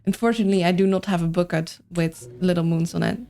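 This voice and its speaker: Low, gravelly Dutch-accented woman streamer. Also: steady tone, fast, dark tone. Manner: chatty, reads and answers chat, thinks aloud. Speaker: game streamer. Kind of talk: casual livestream chat while playing.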